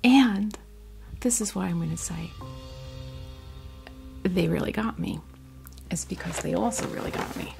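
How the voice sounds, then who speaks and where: An American woman speaking in a soft, breathy near-whisper, chatting casually and hesitantly in an ASMR style close to a sensitive microphone. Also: fairly low pitch, a little slow, long pauses, music in the background. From United States. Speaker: ASMR video host. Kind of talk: ASMR, casual unboxing chat.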